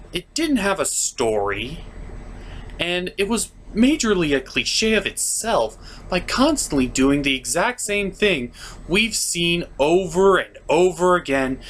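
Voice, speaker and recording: Animated, higher-pitched man reviewing comically. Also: a little hiss or hum. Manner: theatrical, sarcastic, with mock-dramatic emphasis. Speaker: cartoon reviewer, show host. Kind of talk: comic scripted review narration.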